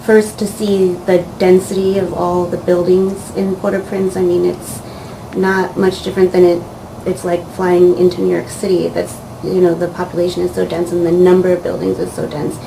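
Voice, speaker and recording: A woman with a low, gravelly voice speaks in a flat, measured way, pausing often on "um". A steady hiss runs clearly behind her. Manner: measured, with frequent "um". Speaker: volunteer doctor. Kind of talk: recounting experience to camera.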